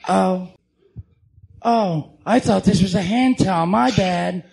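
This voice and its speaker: A man voicing a flirty, worldly female prostitute in a comic-book radio drama, with a creaky, teasing drawl. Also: takes it slowly, a little mellow, full character voice. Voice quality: creaky, high.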